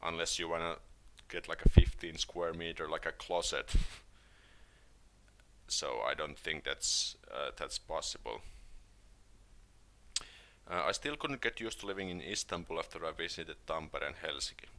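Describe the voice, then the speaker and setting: A Finnish man with a Finnish accent, hosting a casual live stream and answering viewer questions in a relaxed, hesitant way, with many fillers and restarts. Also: very deep voice, normal speed. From Finland.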